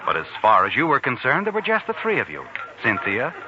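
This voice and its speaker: An actor playing an ominous, insinuating narrator of a 1940s radio suspense drama, speaking straight to the protagonist. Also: male voice, mid-range voice, wildly swooping pitch, brisk, muffled recording, very noisy. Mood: knowing, ominous. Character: knowing, ominous male narrator addressing the protagonist. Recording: thin old radio recording with music.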